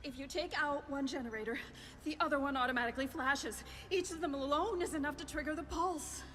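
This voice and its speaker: A woman's voice: high voice, fairly quick, bright, forceful tone. A woman playing an anxious technical expert in a video game, recorded with long reverberation. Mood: tense, warning. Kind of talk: video game dramatic acting. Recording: long echoing hall reverb.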